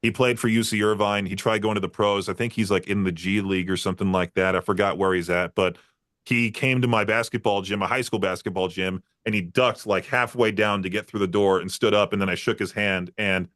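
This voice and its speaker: A man's voice: fairly low pitch, steady tone, brisk, fairly bright tone, dry recording, clean studio sound. Rambling man hosting a podcast. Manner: rambling storyteller, frequent fillers and laughs. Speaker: podcast host. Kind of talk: casual interview chat.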